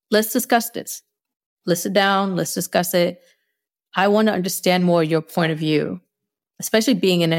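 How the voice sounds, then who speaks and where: A middle-aged woman who grew up speaking Spanish, answering interview questions in a frank, steady way. Her voice sits low and carries a gravelly texture. She laughs easily between thoughts. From Spanish-speaking household in the US. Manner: frank, measured, laughs often. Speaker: guest. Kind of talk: interview conversation.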